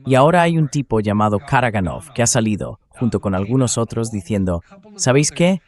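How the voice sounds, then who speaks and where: A man's voice: mid-range voice, lively intonation, fairly quick. A synthetic AI man's voice reading a dubbed translation of explanatory military commentary.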